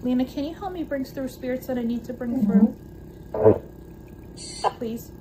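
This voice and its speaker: A woman's voice: high voice, normal speed, noisy background. A woman addressing spirits in a summoning, recorded from a distance.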